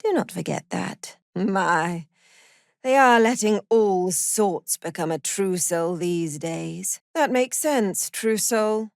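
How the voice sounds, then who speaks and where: Slow-spoken, cruel zealot warden. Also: female voice, mid-range voice, animated, gravelly, no room sound, studio quality. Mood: sardonic contempt. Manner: haughty, sardonic, measured, threatening. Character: cruel, zealous female cult prison warden, contemptuous and menacing. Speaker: prison warden in a fantasy game. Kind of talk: video game character voice acting.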